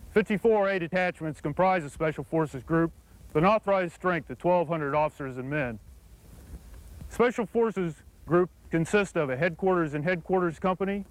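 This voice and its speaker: A man, an army captain, presenting his unit in a formal, scripted military briefing with crisp, measured delivery. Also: on the high side, rough recording.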